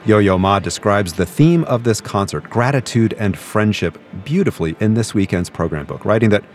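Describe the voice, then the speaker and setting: Deep-voiced male concert radio host. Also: faint music behind. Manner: measured, even announcer delivery. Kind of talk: scripted broadcast narration. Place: concert venue broadcast booth.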